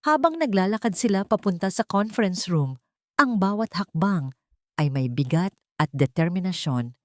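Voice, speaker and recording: A woman's voice: on the low side, a little mellow, no echo, clean studio sound. A woman reading a dramatic scripted story as an audio drama narrator.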